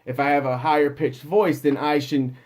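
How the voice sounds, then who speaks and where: A man with a slightly higher voice talks through singing advice in a loose, off-the-cuff way. He wanders and restarts his sentences, leaning on fillers as he goes. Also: steady tone, moderate pace, a little mellow. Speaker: music producer giving vocal advice. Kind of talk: casual advice talk.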